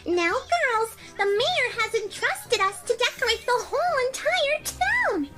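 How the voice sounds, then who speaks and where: A woman's voice: very high voice, sing-song, a little slow, pushed and bright, soft background music, heavily acted. A woman voicing a chipper pink cartoon pony mare, bubbly and eager, in a fan audio drama.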